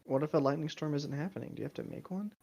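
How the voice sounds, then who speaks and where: A man's voice: fairly high pitch, very fast, gravelly, darkish tone. A man reading aloud casually and breaking off for sardonic, sweary asides. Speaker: co-host of a fan fiction reading show.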